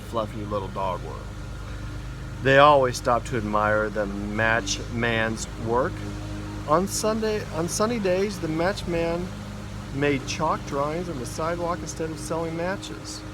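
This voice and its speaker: A man's voice: mid-range voice, a little slow. A man, a school principal, reading a children's storybook aloud outdoors in an informal way for young listeners. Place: outdoors in a backyard. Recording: outdoor noise, vehicle and ambient hum. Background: loud outdoor ambience, vehicle noise.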